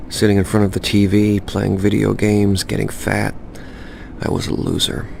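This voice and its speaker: A man's voice: sits low, creaky, a little hiss or hum. An actor playing a cynical, cocky young male assassin who narrates a video game in a crude, sardonic way, speaking straight to the listener. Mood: cocky, taunting. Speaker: narrator and protagonist. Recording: loud music over the voice.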